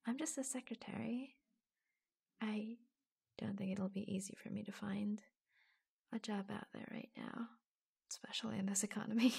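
A woman playing an affectionate, reassuring secretary in a soft-spoken ASMR roleplay, gently hesitant and often dropping into a whisper. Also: mid-range voice, fairly even intonation, vocal fry, slightly rough, exaggerated voice. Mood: tender, wistful. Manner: gentle, hesitant, pausing between short phrases.

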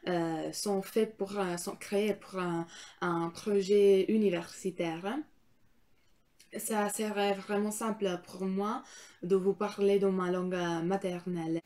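Young woman, Italian accent, first language Italian; slow, halting explainer to camera, heavy filler sounds. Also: mid-range voice, steady tone, warm tone. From Italy. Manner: hesitant, many filler sounds while searching for words. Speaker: student vlogger. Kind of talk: educational talk to camera. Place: small room indoors. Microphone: camera or phone in front, framed from below.

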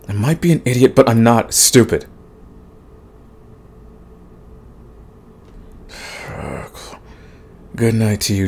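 A man playing a big, grumpy but soft himbo demon in a romantic comedy audio roleplay, husky and stammering in protest. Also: lowish voice, full character voice. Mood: grumpy, tender. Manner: grumbling, sighing, stammering protests. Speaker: voice actor.

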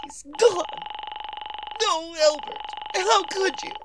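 A woman's voice: extremely high pitch, slow pace, very noisy. A woman playing a nervous, demanding invalid wife in a melodramatic 1940s radio suspense style. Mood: distraught, panicked.

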